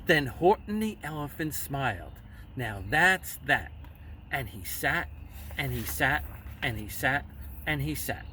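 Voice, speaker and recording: Animated, bright-voiced man reading a storybook. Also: fairly high pitch. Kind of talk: expressive children's storybook reading. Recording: slightly distant mic, faint background noise.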